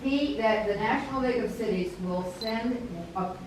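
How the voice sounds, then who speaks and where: A woman on the council making forceful remarks at a public meeting, with a big voice. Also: a little high, moderate pace, noisy background. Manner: forceful, says she has a big voice. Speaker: council member. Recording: echoey hall picked up from far off.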